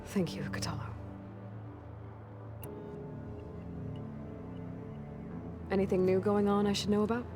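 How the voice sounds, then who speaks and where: An actress playing a confident, pragmatic young heroine in a video game, plain-spoken and direct. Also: female voice, mid-range voice, fairly even intonation, fast talker, over music, lightly acted. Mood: appreciative. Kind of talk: video game character dialogue.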